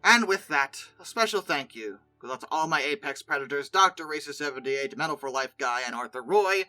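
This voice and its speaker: A host giving casual, opinionated commentary in an informal, chatty way, expressive and given to exasperated mock disbelief. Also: mid-range voice, brisk, soft background music, rough recording. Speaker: video host and narrator.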